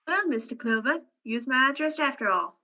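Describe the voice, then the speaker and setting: Woman doing brazen but brittle noir dame, high and super bright, radio play. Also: animated, a little fast, heavily acted. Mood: taunting, playful. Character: brazen, teasing young female liar, fragile underneath. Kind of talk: old-time radio crime drama acting.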